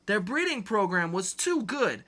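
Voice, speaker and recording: A man performs a crybaby dictator villain in an amateur comic dramatic reading. The voice is high and piercingly bright, swinging widely in pitch. The delivery is pompous, grandiose and melodramatic. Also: sing-song, measured pace, exaggerated voice.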